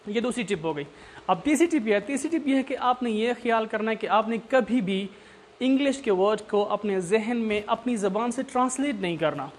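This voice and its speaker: A man, a Saraiki speaker, with a fairly high voice, talks learners through tips in a loose, unscripted lesson. He repeats his points and keeps checking that everyone is following. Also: lively intonation, moderate pace, a little hiss or hum. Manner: repetitive, coaxing, often checks understanding. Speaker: English tutor. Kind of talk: informal instructional talk.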